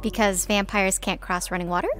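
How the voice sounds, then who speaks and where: A woman's voice: medium pitch, brisk, faint music behind, lightly acted. A woman playing a chatty, eager young livestreamer on a vampire hunt in an audio drama, with a casual delivery. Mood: informative. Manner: casual, animated.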